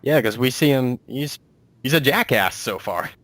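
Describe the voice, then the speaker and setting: A man's voice: medium pitch, expressive, normal speed, a bit bright, no room sound. A man hosting a casual interview as a writer and producer, talking in a rambling, self-deprecating way.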